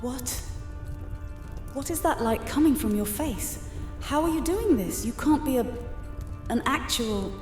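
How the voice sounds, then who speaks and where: A woman playing an imperious, defensive high priestess in an audio drama, theatrical, in an echoing chamber with music. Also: lively intonation, a little slow, long pauses, a little mellow. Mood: alarmed. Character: haughty, indignant high priestess, a fake oracle.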